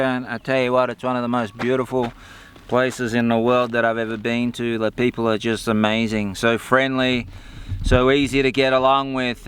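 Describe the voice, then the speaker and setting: A man in his forties talks in a chatty, easygoing way at an ordinary middle pitch. He is recorded outdoors by a road, with faint traffic and ambient noise behind him. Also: fairly bright tone. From Australia. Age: 40s. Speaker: touring cyclist. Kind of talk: casual interview.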